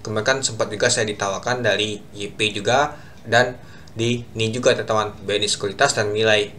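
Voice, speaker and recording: A man's voice: lowish voice, steady tone, brisk, sharp tone, a little hiss or hum. A young man casually talking viewers through stock charts on a screen share, addressing them as friends over and over, recorded at a computer in a small room. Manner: chatty, repeats "teman-teman" constantly, talks through charts. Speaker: stock market youtuber.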